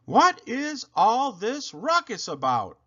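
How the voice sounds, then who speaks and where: Guy doing grumpy impatient storybook townsfolk, voice way up high, loud n exasperated, bit muffled. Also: wildly swooping pitch, slow pace, heavily acted. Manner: exclaiming, exasperated.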